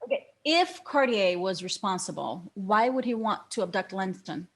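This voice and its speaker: Woman playing a police detective, medium pitch, halting, probing, understated drama read. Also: lively intonation, husky, low-quality recording. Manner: hesitant, halting questioning. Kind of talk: screenplay table read, understated acting.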